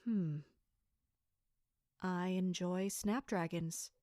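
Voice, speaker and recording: A woman playing a dutiful, wary guard captain, speaking and narrating in a formal, measured audio drama style. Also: fairly low pitch, fairly even intonation, dark tone, dry recording, studio quality. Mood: polite, reserved. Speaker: narrator and lead character. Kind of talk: scripted first-person audio drama narration.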